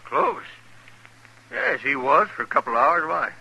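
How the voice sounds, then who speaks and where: An actor playing an agitated, indignant frontier bank owner in a western radio drama, with an American accent and a fretful, scolding manner. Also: male voice, a little high, big pitch swings, moderate pace, creaky, pushed and bright, slightly rough, lo-fi sound, exaggerated voice. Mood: dejected.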